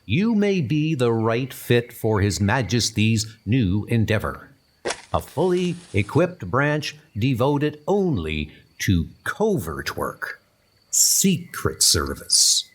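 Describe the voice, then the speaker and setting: Man as a deliberate, formal British spymaster. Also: medium pitch, sing-song, slight background noise. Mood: grave, proud. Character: formal, secretive British secret service chief. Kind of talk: comic dub spy drama acting.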